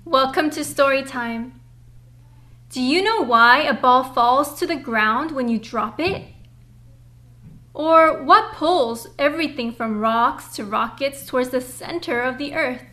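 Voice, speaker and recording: A young woman presenting and reading for young children in an upbeat, clearly enunciated way, as a storytime host. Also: sits high, unhurried, bright, forceful tone. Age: young adult. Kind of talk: children's read-aloud and presenting.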